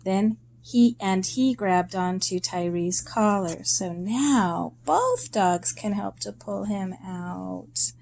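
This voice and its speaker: A woman reading a children's story aloud in a deliberate, expressive way, in short phrases with pauses. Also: mid-range voice, gravelly, a little hiss or hum.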